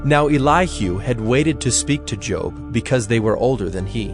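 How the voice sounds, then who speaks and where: A man narrating scripture from a script in a measured, formal storytelling manner. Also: medium pitch, lively intonation, music in the background.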